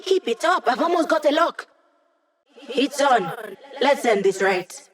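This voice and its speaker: A woman's voice: sits high, lively intonation, measured pace. An actress voicing a witty young female hacker in a video game, a digitized mind who helps a squad with breezy, wry, techy teasing. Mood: focused, eager. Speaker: mission support character in a video game.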